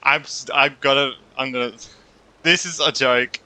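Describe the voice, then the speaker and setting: A man's voice: medium pitch, fairly even intonation, normal speed, sharp tone, dry recording, low-quality recording. A man doing casual gameplay reaction commentary as a streamer, blunt and joking, with swearing.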